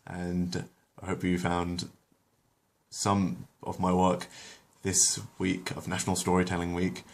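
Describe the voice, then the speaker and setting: A very deep-voiced man reads a retold story in a relaxed storybook manner. When he steps off the script, he talks casually and hesitantly, with many pauses. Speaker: storyteller, channel host. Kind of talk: reading a retold episode aloud, then casual chat.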